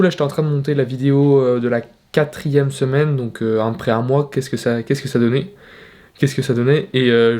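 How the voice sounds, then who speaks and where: A man's voice: mid-range voice, a little mellow. Chatty young man vlogging at home. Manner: hesitant, many fillers and restarts. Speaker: fitness vlogger. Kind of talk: casual vlog talk to camera. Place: at home in his bedroom.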